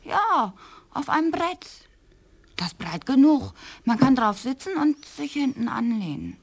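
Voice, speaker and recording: An actress playing a distraught wife who confesses in a halting, emotional way in a radio play. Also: female voice, high-pitched, sing-song, slight background noise, heavily acted.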